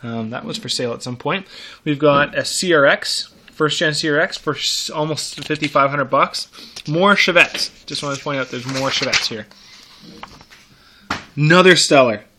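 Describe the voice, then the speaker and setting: Man with a bright, forceful voice, browsing casually. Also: a little high, normal speed, a little hiss or hum. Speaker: car enthusiast vlogger. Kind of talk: casual off-the-cuff commentary while browsing classified ads.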